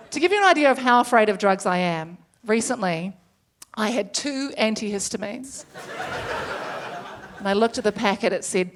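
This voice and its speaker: A woman in her thirties from New Zealand with a New Zealand accent, doing a stand-up comedy set with chatty, self-deprecating asides. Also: mid-range voice. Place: comedy stage before a live audience.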